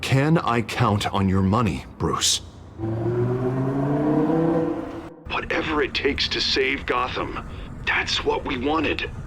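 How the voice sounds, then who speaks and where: A man's voice: mid-range voice, lively intonation, unhurried, very noisy, lightly acted. An actor playing an anxious, pleading male politician in a dramatic video game scene. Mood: pressing. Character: anxious, pleading male district attorney running for mayor. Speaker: politician character.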